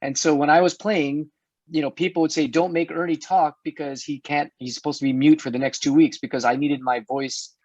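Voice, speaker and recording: A middle-aged man hosting an online health talk, chatty and hoarse, often restarting his words and laughing. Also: on the high side, steady tone, brisk, darkish tone, no echo. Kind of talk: casual panel chat. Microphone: video call. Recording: dull dry video-call audio.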